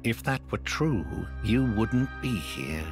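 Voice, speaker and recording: A man's voice: mid-range voice, very animated, slow. An actor playing a sly, worldly man with a knowing, teasing manner in a game cutscene, music behind him. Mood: needling. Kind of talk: video game cutscene acting.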